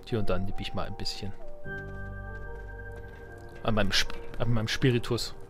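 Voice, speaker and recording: A man's voice: on the low side, fairly even intonation, moderate pace, over loud music. A man giving casual gaming commentary as a let's play host, wry and joking as he thinks aloud.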